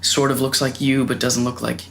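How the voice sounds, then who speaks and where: A young man, a guest on a podcast, speaking in a thoughtful, measured way in a casual chat. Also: mid-range voice, level tone, gravelly, a bit bright. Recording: faint noise.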